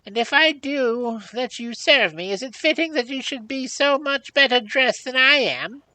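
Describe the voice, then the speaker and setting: Shrill, scolding old storybook hag. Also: female voice, normal speed, sharp tone, dry recording, rough recording. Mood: cross, scheming. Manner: grumbling, sarcastic, haggling. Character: miserly, mocking, scolding old peasant hag. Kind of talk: storybook character voice.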